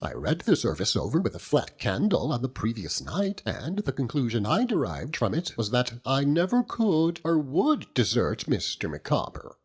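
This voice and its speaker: A man performs an earnest, genteel wife and mother in a storybook reading. Her voice sits high and swings dramatically up and down, with a slightly husky edge. She argues her points with pompous, emphatic self-importance. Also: wildly swooping pitch, normal speed, few pauses. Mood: earnest, devoted. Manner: pompous, declamatory, emphatic lecturing. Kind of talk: audiobook character voice.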